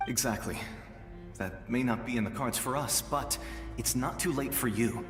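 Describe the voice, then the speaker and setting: An actor voicing the gentle, protective ghost of a fallen warrior in a video game, calm, earnest and wistful. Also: male voice, mid-range voice, moderate pace, lightly acted. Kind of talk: English video game voice acting. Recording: long echoing reverb effect, music behind.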